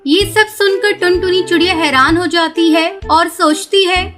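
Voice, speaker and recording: A woman reads a scripted children's story as narrator. Her voice is extremely high. Music plays clearly behind her. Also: steady tone, moderate pace.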